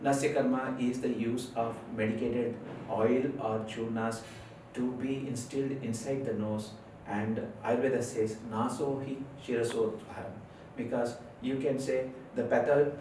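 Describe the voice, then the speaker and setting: A man's voice: mid-range voice, mellow. A middle-aged man from India, an Ayurveda physician, explaining sinus care to camera with many hesitant fillers. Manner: earnest explaining with frequent uh fillers, gesturing with hands. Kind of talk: health talk to camera. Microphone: lapel mic. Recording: very echoey room, distant mic, faint noise.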